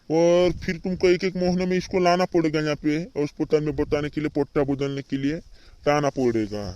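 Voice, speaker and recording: Man playing cartoon hospital doctor, slightly high voice, brisk, reassuring, mildly scolding, repetitive colloquial talk. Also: a little mellow, close to an everyday voice. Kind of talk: amateur regional comedy cartoon dubbing.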